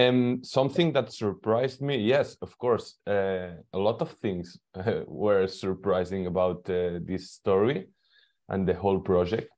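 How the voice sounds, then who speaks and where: A man's voice: sits low, fairly even intonation, a little slow, darkish tone. A man, a journalist and co-director, joining a film panel by video call and answering with hesitant fillers. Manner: sleepy, laughs lightly, hesitant. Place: Barcelona.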